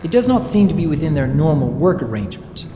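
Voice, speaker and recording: A man's voice: on the high side, sing-song, fast talker, over music. A man voicing a kindly, formal young stallion baron in a dramatic story reading. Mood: formal dictation. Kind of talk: dramatic fan fiction reading. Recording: echoey, long reverb, faint background noise.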